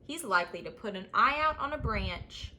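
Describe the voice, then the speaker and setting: A woman's voice: a little high, animated, normal speed, sharp tone. A woman voicing gloomy, disapproving old snakes in a storybook, fretting and scolding. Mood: disapproving worry. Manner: fretful, scolding.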